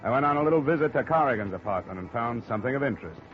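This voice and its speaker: A man's voice: medium pitch, lively intonation, rapid-fire, lightly acted. An actor playing a dry, wisecracking male insurance investigator who narrates a 1950s radio drama. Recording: dull old radio broadcast.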